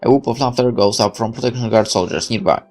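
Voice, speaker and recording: A man's voice: mid-range voice, fast talker, darkish tone, soft background music. A man reading a visual novel aloud as he plays, with wry asides. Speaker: game player.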